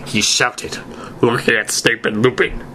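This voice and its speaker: Man, story narrator, theatrical read, sharp bright tone, mid pitch, faint noise. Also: flat delivery, measured pace. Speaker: narrator reading fan fiction aloud.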